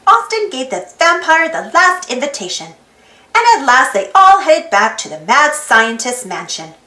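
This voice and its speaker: A woman reading a children's storybook aloud in an expressive, theatrical style as the host. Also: high-pitched, moderate pace. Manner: expressive, theatrical, exaggerated delivery. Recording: roomy echo with music behind. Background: music.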